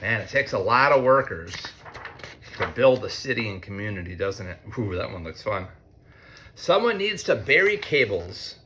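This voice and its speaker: An American man, a teacher, reads a picture book aloud to children in an expressive way, with conversational asides. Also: on the low side, slight background noise. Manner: expressive, deliberate when reading, conversational in asides. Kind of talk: read-aloud storytelling with chatty asides to children.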